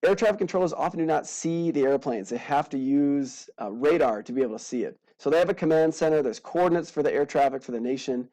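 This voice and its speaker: A man with a fairly high, mellow voice talks like an informal instructor walking through slides. His delivery is casual, with playful little asides dropped into the explanation. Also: fast, hardly any pauses, rough recording. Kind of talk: informal aviation lesson narrated over slides.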